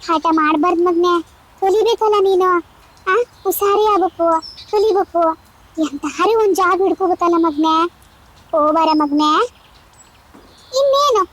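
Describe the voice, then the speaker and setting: Someone voicing a very high-pitched nagging village mother. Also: moderate pace, long pauses, fairly bright tone, rough recording. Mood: coaxing. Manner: nagging, grumbling, coaxing. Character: exasperated village mother scolding and coaxing her son. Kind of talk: cartoon dialogue in colloquial village speech.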